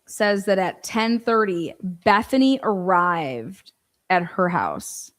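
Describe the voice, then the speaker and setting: A woman's voice: mid-range voice, animated, takes it slowly. A woman giving informal true-crime commentary, reading from a transcript and breaking in with pointed, skeptical asides and rhetorical questions.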